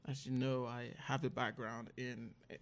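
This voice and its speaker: A woman podcast host chatting casually with a guest, asking questions in an informal, halting way. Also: rumbling low, little pitch movement. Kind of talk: casual interview chat.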